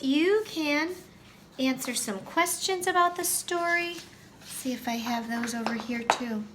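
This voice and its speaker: Slow, high-voiced woman teaching young children. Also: fairly bright tone, a little hiss or hum. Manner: sing-song, addresses children directly with simple questions. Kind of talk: story-time lesson for young children.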